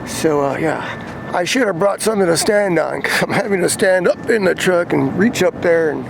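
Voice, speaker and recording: A man's voice: sits high, lively intonation, moderate pace, vocal fry, crowd noise, rough recording. A man giving a casual hands-on vlog demonstration, rambling and thinking aloud, in and around a parked truck. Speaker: vlogger demonstrating CB radio antennas.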